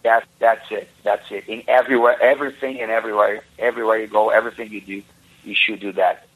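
A man's voice: fairly low pitch, steady tone, fast talker, a bit bright, husky, low-quality recording. A man whose first language is Portuguese, earnestly giving advice in a played interview clip and repeating words as he searches for them. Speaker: jiu-jitsu guest from a past interview.

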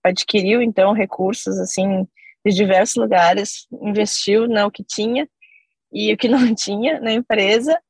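A woman's voice: medium pitch, fairly even intonation, moderate pace, darkish tone, breathy edge, no echo, rough recording. A woman in her thirties from Brazil, a guest on a podcast, chatting casually and telling a long story with many fillers and asides. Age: 30s. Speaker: podcast guest, company CEO.

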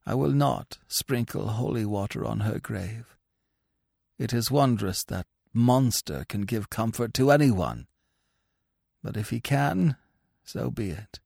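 A man reading the inner thoughts of an aging, devout priest in a hushed, pleading voice. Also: on the low side, expressive, slow pace, creaky, no echo, clean studio sound, pitched above the performer's own voice, heavily acted. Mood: sorrowful. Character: devout elderly village priest, anguished and pious. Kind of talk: audiobook reading.

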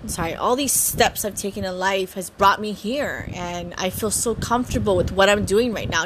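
A woman's voice: mid-range voice, noisy background. A woman in her thirties from Hawaii, chatting casually as she introduces her podcast at home, stammering and restarting often with giggles. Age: 30s. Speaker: podcast host.

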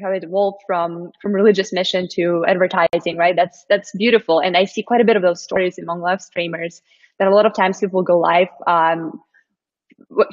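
A woman from Moscow, Russia, hosting a live-stream interview in an upbeat, encouraging way with many fillers. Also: lowish voice. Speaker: host. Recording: dull lo-fi stream audio.

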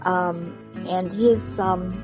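A woman hosting a show, giving a casual introduction in a hesitant, halting way. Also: fairly low pitch, fairly even intonation. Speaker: radio show host. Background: plucked guitar music.

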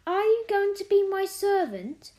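A woman's voice: sits high, sing-song, measured pace, darkish tone. A woman voicing a spoiled, haughty little girl who speaks in a demanding, disdainful way, for a storybook. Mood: imperious.

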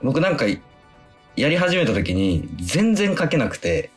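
A man's voice: mid-range voice, big pitch swings, a little slow, breathy edge, soft background music. A man guest on a radio show, a film director, answering politely with many hesitant fillers. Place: radio studio.

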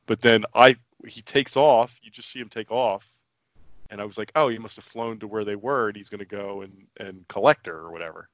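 A man co-hosting a casual podcast, hoarse and raspy as his voice comes back, talking in hesitant stops and restarts. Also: fairly low pitch, fairly quick, a bit bright, no echo, lo-fi sound. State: hoarse, recovering from losing his voice. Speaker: podcast co-host. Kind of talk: casual chat.

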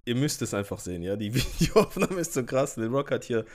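A man's voice: medium pitch, sing-song, fairly quick. A man hosting a podcast in loose, slangy casual chat, laughing often as he talks. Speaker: podcast host.

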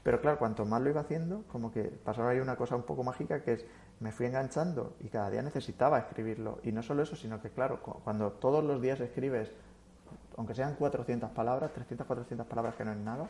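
A man of middling pitch talks through his thoughts in a loose, conversational way. He doubles back on his words and restarts often, with an unhurried, reflective delivery. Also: a little mellow. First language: Spanish. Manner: thoughtful, rambling with many restarts. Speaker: guest. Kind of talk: casual interview chat.

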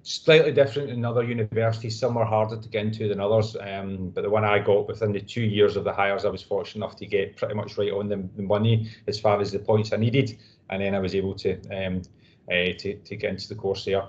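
A man's voice: fairly low pitch, little pitch movement, fast, dull audio. A Scottish man in his thirties answering interview questions in a modest, rambling way. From Scotland. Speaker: guest physiotherapist.

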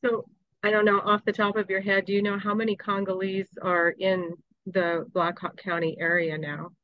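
A woman hosting a podcast, gently asking questions and recapping her guest's answers. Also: medium pitch, flat delivery, moderate pace, no echo, dull audio. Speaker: podcast host. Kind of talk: interview questions.

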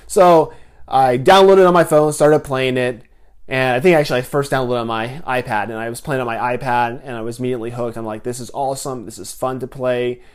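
A man's voice: mid-range voice, fairly even intonation, fairly quick, smooth. Casual young man hosting a home podcast. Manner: rambling, with frequent restarts and filler words. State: getting over a head cold. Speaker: podcast host. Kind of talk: casual solo podcast talk.

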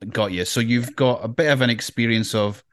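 A man's voice: medium pitch, fairly quick, a bit bright, no echo, studio quality. A man hosting a podcast interview, asking questions with many restarts. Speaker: podcast host. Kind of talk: casual interview chat.

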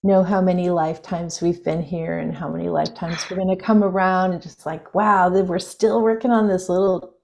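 Female spiritual-teacher guest, lower register, meandering and reflective delivery. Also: little pitch movement, moderate pace, gravelly, a little hiss or hum. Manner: musing, loose, with many fillers and soft laughs. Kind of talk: reflective interview conversation.

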